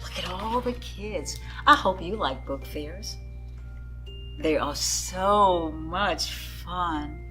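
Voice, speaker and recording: A woman's voice: fairly low pitch, drawn-out words, fairly bright tone, soft background music. A woman reading a picture book aloud to children, expressively and with dramatic emphasis, addressing her young listeners. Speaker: children's story-time reader.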